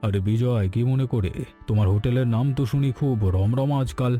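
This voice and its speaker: Guy doing stately village landlord moneylender, calm, businesslike, very mellow dark voice, cartoon w music. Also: mid-range voice, fairly quick, natural voice. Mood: measured. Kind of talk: cartoon story voice acting. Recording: background music throughout.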